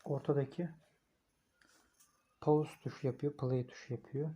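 A man's voice: medium pitch, fairly even intonation, a little slow, mellow, slightly rough. A man giving a casual, hands-on product review, thinking aloud informally as he records on a phone. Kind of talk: casual hands-on unboxing and installation commentary.

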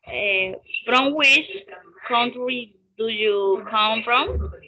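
Very slow-speaking young Latin American woman, Spanish accent. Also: a little high, slightly rough. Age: 20s. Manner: halting, careful word-by-word delivery. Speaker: English learner. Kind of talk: scripted practice conversation in halting English. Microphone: video call. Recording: dull lo-fi video call audio.

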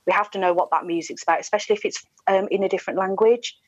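A woman's voice: medium pitch, steady tone, racing, slightly rough, no room sound, dull audio. A woman in her forties with a British accent, talking candidly and emphatically as a radio presenter being interviewed over a video call. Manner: chatty, emphatic, with mimicked asides. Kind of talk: candid conversational interview talk. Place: indoor room at home, video call. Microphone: wired earphone headset on video call.

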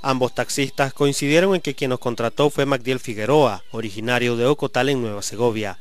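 A man narrating a local news report in a formal, even, scripted read. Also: medium pitch, a little fast.

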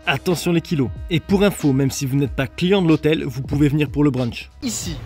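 A man's voice: fairly high pitch, brisk, darkish tone, soft background music. A man in his thirties vlogging his travels, chatting playfully to viewers from the street and cafés with the camera at arm's length. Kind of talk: travel vlog narration.

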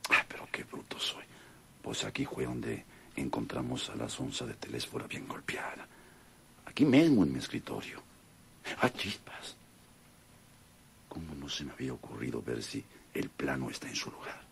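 A greedy, scheming Mexican villain in a radio drama, extremely deep and heavily gravelly. He mutters theatrically to himself with lively pitch. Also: normal speed, long pauses, in a whisper, full character voice. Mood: suspicious muttering. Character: greedy, vengeful, scheming male villain landowner.

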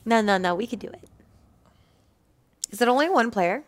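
A woman's voice: fairly high pitch, lively intonation, normal speed, background noise. A young woman co-hosting a reaction show, chatting casually about game footage from a small studio. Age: young adult.

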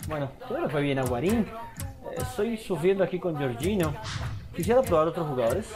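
A young man giving casual, animated commentary on his football video game and reading viewer comments, often calling his audience "señores". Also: on the high side, brisk, music in the background. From Colombia. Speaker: gaming YouTuber.

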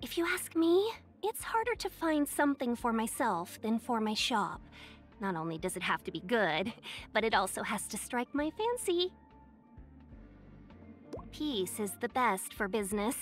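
A woman's voice: mid-range voice, big pitch swings, moderate pace, faint music behind, close to an everyday voice. A woman voicing a young fox-eared merchant in a video game, silver-tongued, polite and playfully coy. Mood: playful, composed. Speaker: game character voice. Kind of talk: scripted video game character voice lines.

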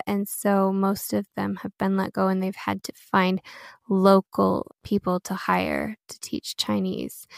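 American woman with a creaky voice. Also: medium pitch, no room sound, studio quality. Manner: chatty, self-quoting storytelling with frequent "like" and "you know". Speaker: interview guest, Chinese teacher. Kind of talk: casual conversational interview.

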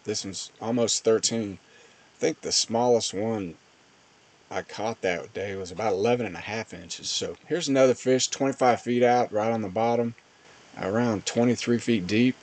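A man's voice: on the low side, moderate pace, a little mellow. A man giving casual, plain-spoken voice-over commentary on fishing footage as host of a fishing channel.

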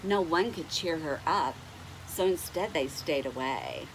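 A middle-aged woman reads a picture book to little ones at an unhurried pace. Her voice swoops up and down as she stretches words and puts questions to the children. There is clear noise behind her in a home recording. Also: deep voice, lively intonation, low-quality recording. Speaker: storyteller reading to children. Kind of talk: expressive picture-book read-aloud with asides to young listeners. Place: indoors at home on a couch.

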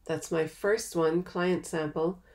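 A woman giving a step-by-step software tutorial over a screen recording, in a plain, patient manner. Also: on the low side, steady tone, slow. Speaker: software trainer.

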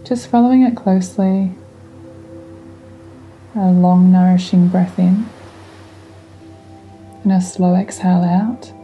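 Woman, meditation guide, lowish voice, gentle and soothing, unhurried, relaxing music underneath. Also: steady tone, poor audio.

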